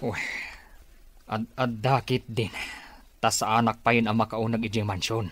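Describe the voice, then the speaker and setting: An actor as a dry-sounding hapless accomplice. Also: male voice, fairly low pitch, little pitch movement, moderate pace, a bit bright, no room sound, natural voice. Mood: defensive. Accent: Ilocano. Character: hapless male accomplice making excuses. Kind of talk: radio drama acting.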